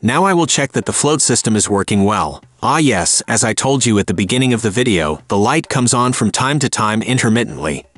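Man calmly narrating a car repair. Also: fairly low pitch, fairly quick, few pauses, dry recording, clean studio sound. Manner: calm, step-by-step explaining. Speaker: car repair video host. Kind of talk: scripted how-to voice-over.

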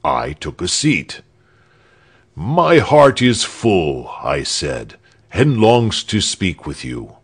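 A man's voice: sits low, very animated, extremely slow, slightly rough, exaggerated voice. A man doing an earnest indignant spokesman.

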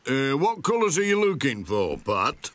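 A man with a Northern English accent playing an easygoing, obliging middle-aged village handyman in a children's cartoon, unhurried and folksy. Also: medium pitch, huge pitch swings, fairly bright tone, no echo.

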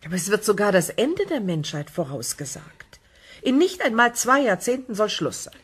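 A female broadcast host in a sci-fi radio drama. She is formal, courteous and probing, with a slightly husky voice and diction. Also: mid-range voice, sing-song, moderate pace. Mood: probing, polite. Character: polite, inquisitive female science broadcast host.

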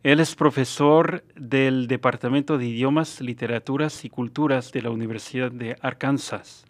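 A man in his forties hosts an interview on a studio microphone. He reads a prepared introduction and asks his questions at a steady, even pace. He answers his guest with short, encouraging sounds. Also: medium pitch. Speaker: interview host. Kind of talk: scripted intro then interviewing. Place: small studio with dark curtain. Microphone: studio mic on boom arm.

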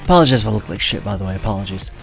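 A man's voice: lowish voice, animated, very fast, vocal fry, faint music behind. A young man in his twenties talking casually to camera from a home streaming setup, his voice a little worn and his talk loose and rambling with frequent swearing. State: voice weakened from treatment. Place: home streaming room, indoors.

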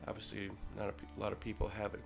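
A man with a head cold, casually talking through a workshop ring build in a relaxed way with fillers. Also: fairly low pitch, very fast. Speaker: maker showing a ring build. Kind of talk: casual workshop tutorial voice-over. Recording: voice-over with clear music behind.

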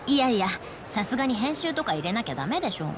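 An actress playing a brisk, confident young woman in a video game, casual and a little bossy. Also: on the high side, very animated, background noise. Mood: brisk, take-charge. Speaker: game character actor. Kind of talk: Japanese video game voice acting.

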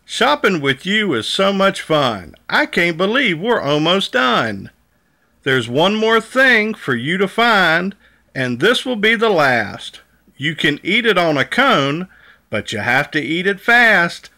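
A man's voice: sits high, sing-song, takes it slowly, sharp tone, full character voice. A man reading the part of a cheerful, helpful big dinosaur in a children's storybook, encouraging and sing-song.

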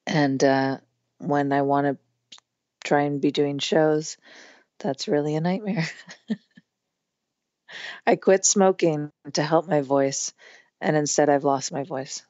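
Hoarse low-voiced woman, chatty. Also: fairly even intonation, slow, long pauses, dark tone, no room sound, dull audio. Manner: chatty, rambling with many fillers. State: losing her voice, hoarse. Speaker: podcast guest, musician. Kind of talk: casual conversation.

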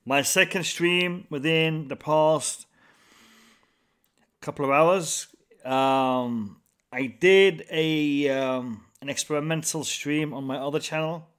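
A man, hosting a casual live stream from a small home room into a studio mic, upbeat and greeting viewers by name over and over. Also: mid-range voice. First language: Arabic. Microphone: large studio mic on a boom, headphones.

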